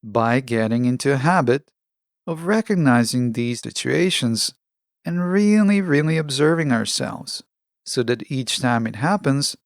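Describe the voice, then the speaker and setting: A man hosting a podcast, delivering a scripted self-help monologue in measured, deliberate phrases, close on the microphone. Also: mid-range voice, dry recording. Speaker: podcast host.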